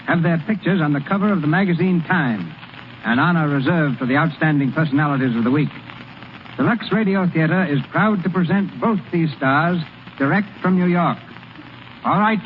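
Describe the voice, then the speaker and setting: A man hosting a radio show in formal, scripted 1930s announcing style. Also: fairly high pitch. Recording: tinny, hissy 1930s radio recording.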